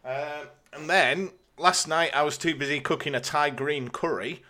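A man speaks in a bright, slightly high voice as a podcast co-host. His chat is informal and candid, with a self-deprecating streak. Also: normal speed. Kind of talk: casual chat.

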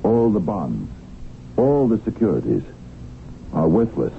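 An actor playing a hesitant, flustered small-time lawyer in a 1970s radio drama, halting and formal as he stammers. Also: on the low side, big pitch swings, creaky, heavily acted. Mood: grave, uneasy. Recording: tinny, noisy old radio broadcast.

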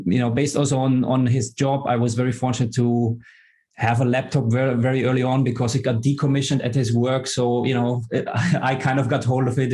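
A middle-aged man from Germany, speaking as a guest in a casual podcast interview, pausing often with fillers and restarts. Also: mid-range voice, mellow. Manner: hesitant, many fillers and restarts. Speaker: guest, tech executive.

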